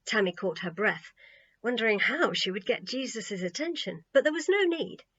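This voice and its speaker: A woman narrates a children's audiobook with expressive, scripted storytelling. Her voice sits at a middle pitch. The sound is a little dull and muffled. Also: moderate pace, slightly rough.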